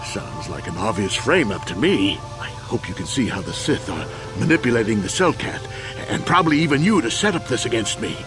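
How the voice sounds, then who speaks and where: A man's voice: lowish voice, big pitch swings, a little fast, over music. An actor playing a crippled old war veteran in a video game, emphatic and protesting his innocence. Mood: indignant. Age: older.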